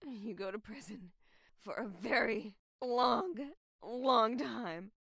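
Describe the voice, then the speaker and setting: Actress as a vengeful woman, slow and high. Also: creaky, a bit bright, husky, no echo, full character voice. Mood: bitter, shaken. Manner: bitter, halting, intense. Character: vengeful, wounded young woman confronting a killer. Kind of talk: audio drama acting.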